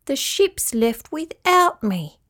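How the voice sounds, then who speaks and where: Woman putting on a cheeky little brown rat guy, slow storybook talk, bouncy pitch. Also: big pitch swings, a bit bright, exaggerated voice. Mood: chatty. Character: small cheeky male brown sea rat.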